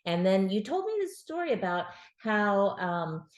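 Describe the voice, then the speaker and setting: A woman's voice: medium pitch, sing-song, a little slow, echoey room. A woman talking casually with her father, explaining food terms in a chatty way with fillers. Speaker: author interviewing her father. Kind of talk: casual family conversation.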